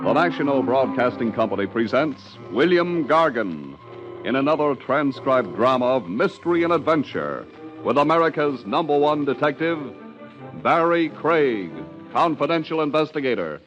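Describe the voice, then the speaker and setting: A man's voice: mid-range voice, very animated, moderate pace. A man announcing a 1950s radio drama in a formal, emphatic, dramatic style. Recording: muffled 1950s radio, loud music behind.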